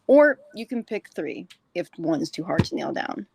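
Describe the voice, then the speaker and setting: A woman's voice: low-pitched, very animated, normal speed, creaky, darkish tone, a little hiss or hum. A woman hosting a podcast, chatting casually as she interviews her guest.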